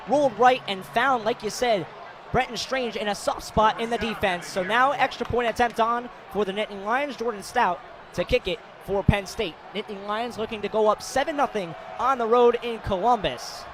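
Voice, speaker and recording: A young American man doing live football play-by-play in clipped phrases, raising his voice excitedly on big plays. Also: high voice, normal speed, crowd noise. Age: young adult. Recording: clear noise, stadium sports broadcast.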